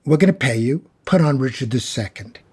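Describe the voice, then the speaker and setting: A man's voice: medium pitch, lively intonation, a little fast, a little mellow, husky. A man giving conversational expert commentary as a guest scholar, telling it emphatically like a story.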